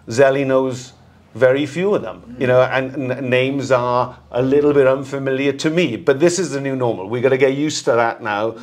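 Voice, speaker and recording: A man giving rugby analysis as a guest, halting and repeating words as he thinks aloud. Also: medium pitch, a little mellow. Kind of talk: casual rugby discussion.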